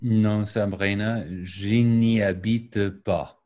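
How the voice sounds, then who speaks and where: A middle-aged American man, a native English speaker, answering questions over a video call in careful, deliberate French with an American accent. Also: on the low side, level tone, a little mellow. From United States. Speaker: guest, video editor. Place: home room with paintings and lamp, indoors. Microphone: webcam on a video call.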